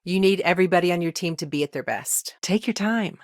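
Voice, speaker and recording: Game role: busy space-colony lawyer, played by an actress, woman with lowish voice, measured and wry, close dry sound. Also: darkish tone, dry recording, natural voice. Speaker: voice actor in a video game. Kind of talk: scripted game dialogue.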